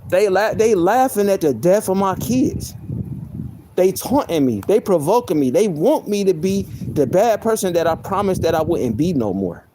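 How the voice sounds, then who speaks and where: A man with a soft voice, venting on a live stream in a heated, profane way, often repeating himself. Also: high voice, big pitch swings, moderate pace, background noise. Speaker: livestreamer.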